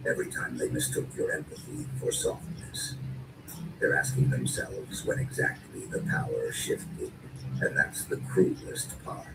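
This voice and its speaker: A man performing dark, dramatic spoken-word narration in short, deliberate and menacing phrases, as a narrator. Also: a bass voice, wildly swooping pitch, creaky, roomy sound. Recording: distant, noisy lo-fi recording.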